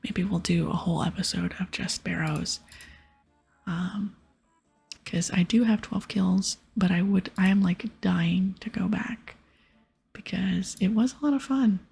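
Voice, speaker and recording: A woman host whispering softly and breathily as she gives gentle, chatty ASMR commentary on a video game. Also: mid-range voice, measured pace, creaky, husky, faint music behind.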